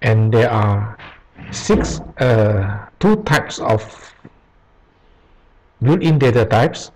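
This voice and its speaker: A man teaching programming over a screen recording, reading aloud slowly with pauses and restarts. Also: on the low side, lively intonation, a little mellow, low-quality recording. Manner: slow, halting, reads aloud with pauses and restarts. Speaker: programming tutor. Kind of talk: screen-recorded tutorial reading from web pages. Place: at a computer, voice-over on screen capture.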